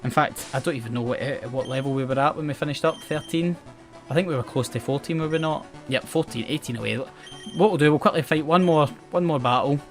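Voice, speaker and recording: A man's voice: a little high, a little fast. A young man with a west coast Scottish accent, chatting casually about the game he is playing and about his life, rambling and self-mocking. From Scotland. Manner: chatty, rambling, self-mocking, often restarts words and laughs. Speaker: gaming channel host. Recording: game music playing faintly behind.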